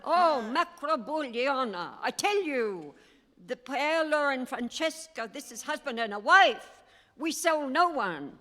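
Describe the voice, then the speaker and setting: Excitable Italian immigrant woman played by an actress, high swooping voice, emphatic broken English, radio play in an echoey hall. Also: measured pace, exaggerated voice. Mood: stubborn. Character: excitable Italian immigrant woman selling her beloved goats.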